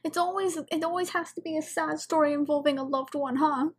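A woman's voice: high-pitched, steady tone, brisk. A woman giving casual, off-the-cuff commentary as host of a reaction video.

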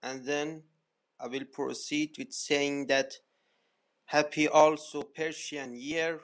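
A man with an Iranian accent giving a formal spoken message, halting and deliberate with long pauses. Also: fairly high pitch, level tone. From Iran. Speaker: voicemail caller. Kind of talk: formal spoken greeting and declaration.

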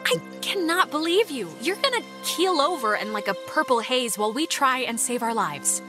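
A woman's voice: high-pitched, animated, moderate pace. A young American woman playing a wry, caring, level-headed teenage girl in a video game. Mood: incredulous. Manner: wry, conversational. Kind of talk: naturalistic video game dialogue. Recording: music behind the voice.

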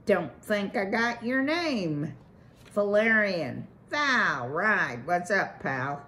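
A woman reading a storybook, voicing a brash, fast-talking male beaver boss with slangy salesman patter. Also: medium pitch, big pitch swings, bright, forceful tone, exaggerated voice.

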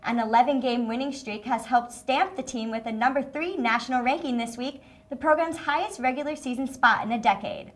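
A woman's voice: on the high side, brisk. A young woman hosting a student sports show from a studio desk, reading her lines upbeat on a lapel mic. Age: young adult. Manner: upbeat, reads from a script. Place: small TV studio set, indoors.

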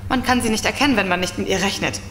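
A woman's voice: mid-range voice, rapid-fire, very noisy, lightly acted. An actress as a coy, self-assured young woman. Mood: confident, chatty. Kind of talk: German audio drama acting.

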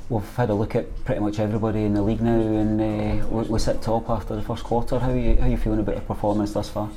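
Brisk low-voiced interviewer. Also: male voice, little pitch movement, warm tone, rough recording. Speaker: club TV interviewer. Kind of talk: post-match interview questions.

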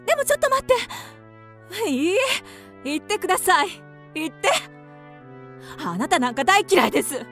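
A woman playing a proud, fiery and fickle widow in a theatrical radio drama, sharp-tongued yet formal. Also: high voice, big pitch swings, normal speed, pauses often, faint music behind, full character voice. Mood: flustered anger. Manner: haughty, sharp-tongued, formal feminine speech.

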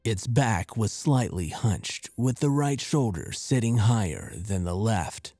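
Man, horror storyteller, dark mellow tone, deliberate expressive delivery, close dry mic, music behind. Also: on the low side, no echo. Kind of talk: scripted horror storytelling narration.

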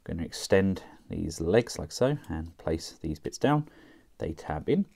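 A man talking casually through a toy review, chatty and relaxed with frequent fillers as he works the figure with his hands. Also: low-pitched, unhurried, vocal fry. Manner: relaxed, chatty, thinking aloud with many fillers.

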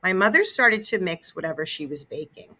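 A woman narrates a children's story expressively. Her voice sits a little low, with a bright, forward edge. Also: very animated, fairly quick. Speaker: read-aloud narrator.

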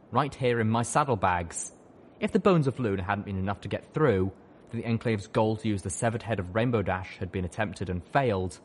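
Low-voiced man narrating a story. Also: a little hiss or hum. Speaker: audiobook reader. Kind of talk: scripted dramatic story narration.

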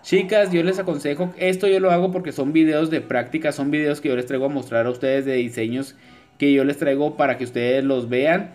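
A man's voice: a little high, steady tone, brisk, darkish tone, faint music behind. A man narrating a nail art tutorial over the footage, chatty and informal, full of fillers and asides to viewers. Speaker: nail artist teaching acrylic nail design.

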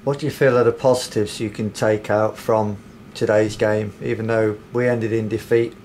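A man interviewing a football manager for the club's media, asking measured questions with some hesitation. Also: fairly low pitch, level tone, darkish tone, a little hiss or hum. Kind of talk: post-match interview questions.

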